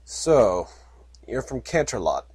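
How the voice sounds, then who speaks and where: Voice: medium pitch, big pitch swings, slow, darkish tone, husky, no room sound, full character voice. Reader voicing a burly, skeptical unicorn guard for an audiobook; close, dry recording. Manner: dry, skeptical, matter-of-fact.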